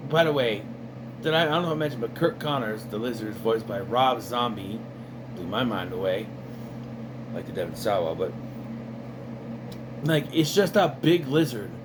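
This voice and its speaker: Man, video reviewer, casual rambling commentary, sarcastic, higher-pitched, steady air conditioning hum. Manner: rambling, sarcastic, many fillers.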